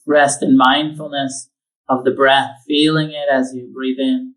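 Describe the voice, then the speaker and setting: A man leading a guided meditation, giving slow, calm instructions with long pauses. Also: mid-range voice, recorded from a distance, poor audio. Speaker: meditation teacher.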